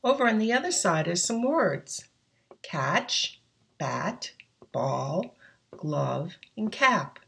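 A woman teacher slowly walking children through a worksheet, patiently explaining each step. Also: on the low side, big pitch swings, creaky, fairly bright tone, breathy edge. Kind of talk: step-by-step instruction.

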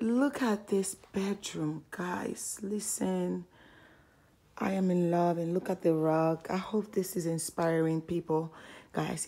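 Soft, unhurried woman touring a house. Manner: hushed, keeping voice low; admiring, gushing. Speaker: home tour vlogger. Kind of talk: casual, chatty video tour narration. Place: indoors, walking through a furnished model home. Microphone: handheld phone or camera held by the speaker.

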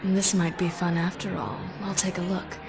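An actress playing a cocky half-vampire huntress in a video game, with a sultry, sarcastic, flippant manner. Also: female voice, on the low side, flat delivery, moderate pace, vocal fry. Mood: sardonic, flirtatious. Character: sardonic, cocky half-vampire woman hunter. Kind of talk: video game cutscene acting. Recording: game audio with music behind.

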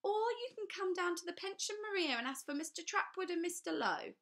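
A woman reading a storybook, voicing a tall, condescending Englishman who speaks slowly as though to small children. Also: extremely high pitch, lively intonation, a bit bright, pitched above the performer's own voice, heavily acted. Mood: oily, pressing. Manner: slow, patronizing, oily. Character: pompous tall middle-aged Englishman, condescending.

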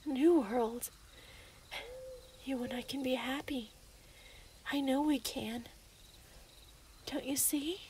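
A woman plays a lovesick, possessive female werewolf in an ASMR roleplay, slightly high and very drawn out. She coos and menaces in breathy half-whispers, with faint night insects behind her. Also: takes it slowly, long pauses, a little mellow, slight background noise. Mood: pleading, smitten. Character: obsessive, possessive young female werewolf in love. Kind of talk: ASMR yandere roleplay, intimate.

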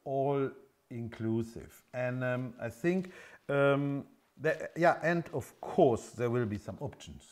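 A man in his fifties with a continental European accent, a non-native English speaker explaining things off the cuff in a halting, hesitant way as a workshop presenter. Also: mid-range voice, lively intonation, a little mellow. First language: not English. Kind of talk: unscripted product explanation. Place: indoor engine workshop showroom.

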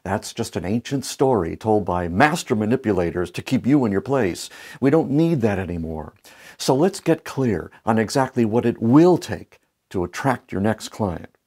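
A man in his fifties hosting a marketing show, speaking to camera in a playful, lively, gently joking way on a lapel mic in a studio. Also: fairly low pitch, moderate pace. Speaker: marketing coach and show host. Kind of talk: scripted talk to camera. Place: bright studio set.

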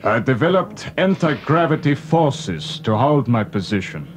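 An actor playing a formal, imperious scientist captain of a starship in a storybook drama. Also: male voice, medium pitch, sing-song, measured pace. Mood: smooth, proud. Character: bearded, commanding mad scientist captain of a starship. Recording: clear noise behind the voice.

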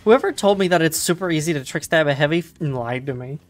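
Woman, low voice, casual streamer chat, self-deprecating, faint music behind. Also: lively intonation, fast talker, a little mellow. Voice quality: low-pitched voice. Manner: chatty, self-deprecating, restarts sentences. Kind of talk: casual gaming chat with friends.

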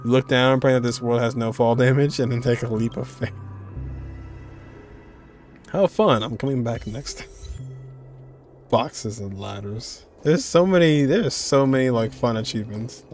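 A man's voice: mid-range voice, measured pace, faint music behind. A young man hosting a game reaction video, talking casually and exclaiming at what he sees, from a desk in an indoor room. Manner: animated, exclaiming and laughing at the screen. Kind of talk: casual live reaction commentary.

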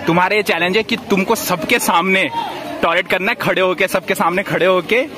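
The excitable young guy filming his mates at a packed public pool, high voice over the clamour, teasing everyone in slang. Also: fairly quick. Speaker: vlogger. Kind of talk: casual vlog chat. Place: outdoor public swimming pool. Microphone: handheld phone. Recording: noisy, crowded outdoor pool. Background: crowd, splashing, outdoor noise.

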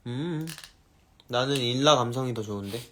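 A man's voice: medium pitch, normal speed, fairly bright tone, a little hiss or hum. A young man in his twenties, chatting playfully and giggling on a casual live stream.

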